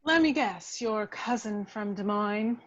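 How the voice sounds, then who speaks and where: A woman's voice: mid-range voice, unhurried, slight background noise, natural voice. A woman playing a detective's wry, jealous girlfriend in an amateur table read, with a sarcastic delivery. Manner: dry, sarcastic. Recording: slightly dull home recording.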